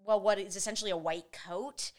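A woman chatting casually as she recaps a film with a co-host, laughing often and slipping into quick put-on lines for the characters. Her voice sits at an ordinary middle pitch. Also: steady tone, darkish tone. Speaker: podcast co-host. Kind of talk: casual movie recap chat.

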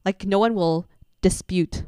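Mellow, smooth-voiced young woman co-host. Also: medium pitch, measured pace, no room sound, studio quality. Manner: reflective, thoughtful, frequent "like". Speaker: podcast co-host. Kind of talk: casual podcast chat.

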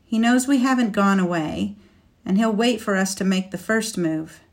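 A woman voicing a bitter, fierce young warrior maiden in an audiobook, reading her lines with passion that climbs toward shouting. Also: medium pitch, moderate pace, gravelly, lightly acted. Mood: lecturing. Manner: passionate, rising to near shouting.

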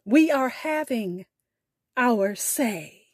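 A woman podcast host giving a scripted, poetic address in a deliberate, measured delivery. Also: fairly high pitch, lively intonation, slightly rough, dry recording, clean studio sound. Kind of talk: scripted podcast sign-off and poetry recitation.

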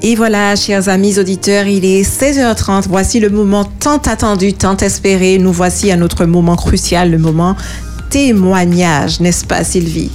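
Lively woman radio co-host. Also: mid-range voice, moderate pace, faint music behind. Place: radio studio.

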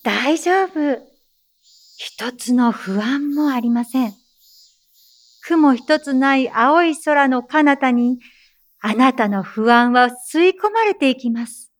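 A woman playing a calm meditation teacher in an audio drama, giving measured, gentle instructions and reassurance. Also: sits high. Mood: soothing, reassuring. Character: soothing female teacher leading breathing meditation, insistently calm amid menace.

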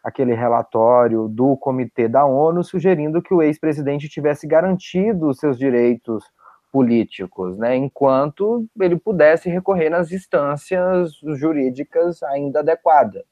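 A man's voice: on the high side, animated, normal speed, mellow, no echo. A man with a Brazilian accent giving political commentary as a panelist, on a dull, lo-fi recording. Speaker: journalist on a podcast panel.

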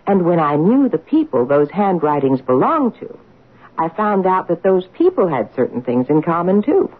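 Devoted wry wife, 50s, old radio drama; expressive swings in pitch, thin band-limited sound. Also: female voice, moderate pace, breathy edge, phone-quality sound. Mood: eager, earnest. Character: devoted, wry middle-aged detective's wife, keen amateur graphologist.

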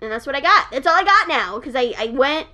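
A young woman co-hosting a loose, casual chat, her voice very high and bright-toned. Her pitch swoops up and down with lots of life, and she keeps breaking into giggles. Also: expressive, brisk. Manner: giggly, bursts into laughter often. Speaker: podcast co-host.

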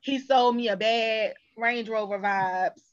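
A woman's voice: on the high side, steady tone, slow pace, lo-fi sound. A woman in a casual discussion, speaking as an opinionated cast member with emphatic delivery.

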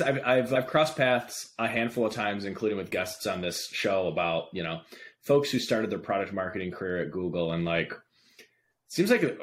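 A man's voice: sits low, a bit bright. A man hosting a podcast in a casual, informal and affirming conversational style. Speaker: podcast host.

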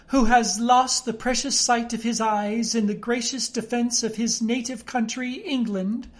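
A woman's voice: on the high side, voice pushed up. Woman voicing a sinister, wheedling old blind beggar man. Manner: odd sing-song wheedling that turns to sneering, curt commands.

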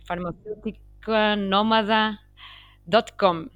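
A woman's voice: mid-range voice, fairly even intonation, slow, fairly bright tone, no room sound, dull audio, faint music behind. Brief: Portuguese-accented woman, Portuguese native, heartfelt guest, dry close sound. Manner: heartfelt, repeats words as she searches for them. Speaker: guest pharmacist. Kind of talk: casual conversation.

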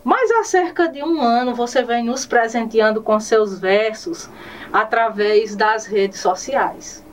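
A woman hosting an interview, asking questions in warm, formal phrasing. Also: on the high side, slow pace, a little hiss or hum. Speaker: interview host. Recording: distant microphone.

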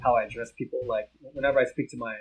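A man's voice: mid-range voice, fairly even intonation, very fast, poor audio. Laid-back American man, easygoing chat. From United States. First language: Vietnamese. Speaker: podcast co-host. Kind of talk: casual chat.

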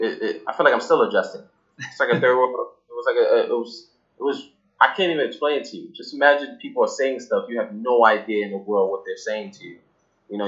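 A man's voice: fairly low pitch, fairly bright tone, lo-fi sound. A young man from Cameroon, a guest in a casual interview, talking loosely with many fillers and restarts. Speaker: guest, app founder.

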